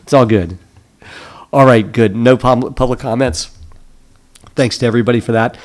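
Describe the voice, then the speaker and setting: A man chairing a town commissioners' meeting, moving through the agenda with an easygoing, brisk manner and frequent "very good"s. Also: medium pitch, steady tone, a little mellow. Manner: affable, brisk procedural patter with repeated "very good".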